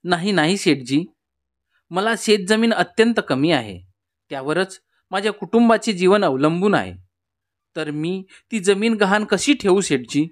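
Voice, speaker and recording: A man's voice: high-pitched, lively intonation, measured pace. A man playing a poor, worried village father in a cartoon story, speaking earnestly and respectfully. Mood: anxious refusal. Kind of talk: scripted cartoon story dialogue.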